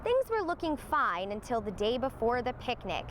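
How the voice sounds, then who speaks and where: High-pitched, animated woman reading a story. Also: normal speed, a little hiss or hum. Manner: expressive read-aloud. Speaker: storybook reader, meteorologist. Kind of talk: scripted children's story narration.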